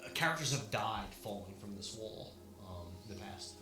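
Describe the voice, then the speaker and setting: Dungeon master in an echoey room. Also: male voice, lowish voice, expressive. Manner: describes scenes with pauses and fillers. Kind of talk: narrating a tabletop game. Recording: echoey room, music behind.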